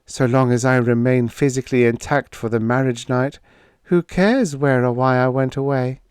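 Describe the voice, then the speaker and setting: A man's voice: mid-range voice, a little slow, no echo, clean studio sound, voice pushed up, lightly acted. A man voicing a wistful, resigned young woman in a story reading. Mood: wistful, bitter. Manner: soft-spoken, resigned. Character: young woman, bride-to-be, wistful, turning cynical.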